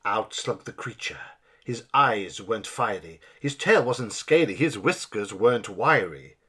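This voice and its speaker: A man's voice: fairly low pitch, lively intonation, unhurried, a bit bright, breathy edge. A man reading a rhyming children's story as a dramatic narrator. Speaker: storybook reader.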